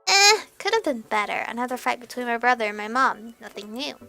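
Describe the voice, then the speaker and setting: A young woman reading a visual novel aloud as a let's player, expressive and animated, with music behind her. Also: a little high, a little fast, creaky.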